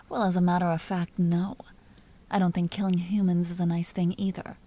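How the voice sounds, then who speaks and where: A woman playing an elegant vampire duchess in an audio roleplay, speaking softly with formal, languid, teasing courtesy and an amused, predatory air. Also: fairly low pitch, level tone, normal speed. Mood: amused, taunting. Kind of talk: dramatic roleplay audio performance.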